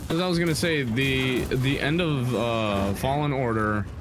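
A man chatting casually over a game, excitable and cursing freely. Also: medium pitch, measured pace, background noise. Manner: excitable, profane. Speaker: co-commentator. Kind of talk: casual chat over gameplay.

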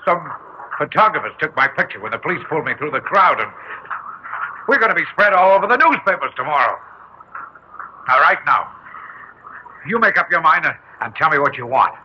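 An actor playing a tough, blustering older businessman father in an old-time radio drama, blunt, rough and slangy in speech. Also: fairly high pitch, sing-song, brisk, long pauses. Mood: defensive. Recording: muffled, noisy old radio recording.

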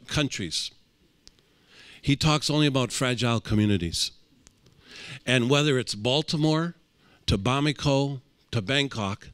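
A man's voice: mid-range voice, a bit bright, smooth, dry recording. A middle-aged man speaking earnestly and emphatically on a panel into a handheld mic. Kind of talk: panel remarks. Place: conference room.

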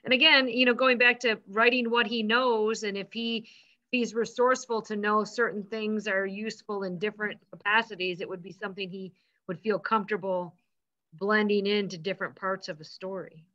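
Bright-voiced woman in discussion. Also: medium pitch, fairly even intonation, moderate pace. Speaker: book discussion participant. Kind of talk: discussion remarks and reading aloud. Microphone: video call. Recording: dull video call audio.

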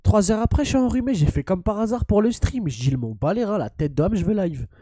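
Congested young man, loud joking streamer. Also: sits high, animated, fairly quick, slightly rough, no room sound, clean studio sound. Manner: loud, joking, slangy banter. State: stuffy from a head cold. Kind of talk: casual gaming stream chat.

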